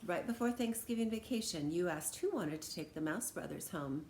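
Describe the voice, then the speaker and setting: A woman with a slightly low voice reads a children's story aloud. Her delivery is animated, with dramatic emphasis on key phrases. The room echoes around her and there is faint noise. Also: normal speed. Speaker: storyteller reading a picture book aloud. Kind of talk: expressive children's read-aloud narration. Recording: roomy echo, background noise.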